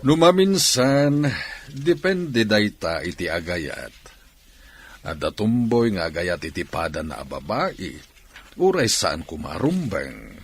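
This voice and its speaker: Low, husky, animated male boss. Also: takes it slowly, full character voice. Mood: philosophical. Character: chatty, philosophising police boss. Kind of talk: Ilocano radio drama acting.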